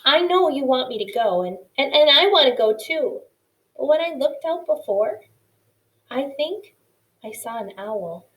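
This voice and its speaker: A woman's voice: sits high, animated, unhurried, a little mellow, echoey room, recorded from a distance, pitched above the performer's own voice, rough recording. A woman voicing a small, timid young female mouse who stammers nervously and pleads.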